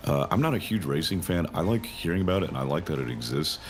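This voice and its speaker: A man's voice: rumbling low, animated, fast talker, slight background noise. A man who works as a game developer, answering interview questions in a rambling way, thinking aloud with lots of fillers.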